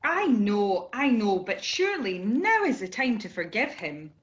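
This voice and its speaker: A woman's voice: medium pitch, big pitch swings, measured pace. A woman playing an earnest, forgiving middle-aged relative in an amateur radio play. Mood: wistful. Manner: earnest, reminiscing. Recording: dull video-call audio.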